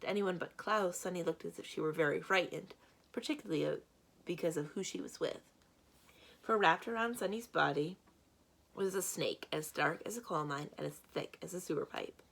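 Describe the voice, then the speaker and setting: A woman reading a children's book aloud as a library storyteller, with expressive narration. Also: on the low side, moderate pace, vocal fry, low-quality recording.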